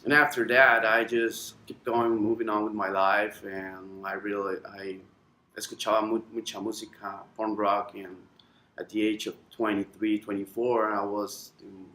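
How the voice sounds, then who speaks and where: Costa Rican man, 20s, halting testimony, unhurried, roomy sound with soft music under it. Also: fairly low pitch, flat delivery, slight background noise. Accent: Costa Rican Spanish. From Costa Rica. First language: Spanish. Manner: halting, many fillers, slips into Spanish. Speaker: testimony speaker. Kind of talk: personal testimony.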